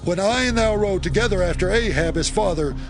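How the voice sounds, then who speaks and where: A man's voice: high-pitched, brisk, over music. An actor playing a fierce, commanding warrior king in a scripture audio drama, with imperious, declamatory delivery. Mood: grim, solemn.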